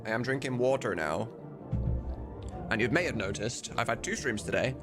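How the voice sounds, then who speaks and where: A man's voice: mid-range voice, animated, normal speed, over music. A man chatting casually on a live stream, rambling and informal with some swearing. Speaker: live streamer and game modder.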